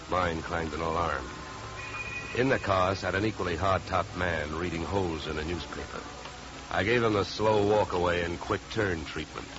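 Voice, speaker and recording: A man's voice: low voice, close to an everyday voice. An American man playing a sardonic, wisecracking insurance investigator in a 1950s radio detective drama. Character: wry, hard-boiled male insurance investigator. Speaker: lead actor and narrator. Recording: muffled old radio recording, music behind.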